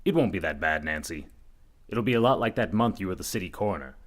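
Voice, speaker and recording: A man's voice: low-pitched, lively intonation, fast. A man playing an earnest, overconfident and slightly dim reporter detective in a comic radio mystery, delivered dramatically. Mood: confident. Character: earnest, dim, self-assured young male reporter detective. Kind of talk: comedic old-time radio mystery acting.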